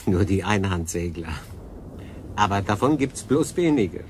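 An actor playing a stranded solo sailor in a radio drama, wry, curious and plain-spoken. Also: male voice, deep voice, expressive, measured pace, a little hiss or hum. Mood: wry, matter-of-fact.

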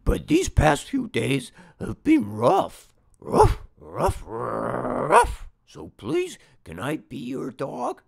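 A man performs a homeless little dog from a picture book, eager and pleading. The delivery is halting and unhurried, with huge swoops in pitch and some creak in the voice. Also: full character voice. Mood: desperate. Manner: hesitant, stammering, expressive. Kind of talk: storybook character read-aloud.

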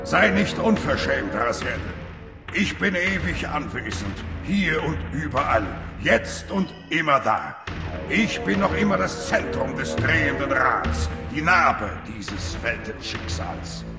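Ein Schauspieler spricht einen uralten, herrischen Tentakelgott in einem Videospiel, großspurig und drohend. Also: male voice, a little high, very animated, slow, heavily acted. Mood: imperious. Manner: commanding, grandiose, menacing. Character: ancient, imperious male tentacled god, cold manipulator. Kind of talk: dramatic video game dialogue. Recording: long cavernous reverb, loud music behind.

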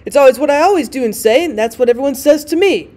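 A woman's voice: sits high, lively intonation, fast, darkish tone. A gloomy, indignant husband from a classic storybook, read by a woman. He speaks in formal, declamatory phrases with pedantic grandeur. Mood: protesting.

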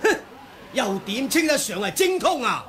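A man's voice: very high voice, big pitch swings, normal speed, noisy background. An actor with a Hong Kong Cantonese accent playing a scornful male martial artist in an old radio drama, sneering and declamatory. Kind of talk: 1980s radio drama acting.

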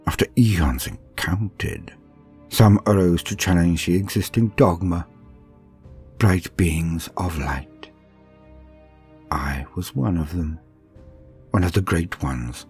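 A man playing a cruel, decadent ancient alien aristocrat, telling a horror tale in a grandiose, haughty, theatrical way with slow pauses. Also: low voice, darkish tone. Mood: proud, reminiscing. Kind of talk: dramatic first-person scripted horror storytelling. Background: music.

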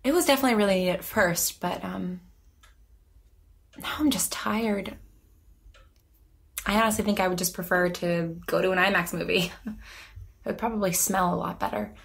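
An actress playing an anxious, lonely woman in her twenties, speaking haltingly and self-deprecatingly in a naturalistic audio drama. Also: medium pitch, vocal fry, lightly acted. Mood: hesitant, reflective. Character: anxious, lonely young woman who vanishes through time.

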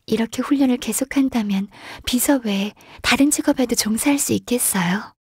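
Shy polite half-qilin secretary girl from a game, really breathy n soft, kinda high, hesitant. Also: brisk, no echo, clean studio sound. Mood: calm, reflective. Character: gentle, shy, earnest half-qilin secretary, young-looking woman centuries old. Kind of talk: video game character voice lines.

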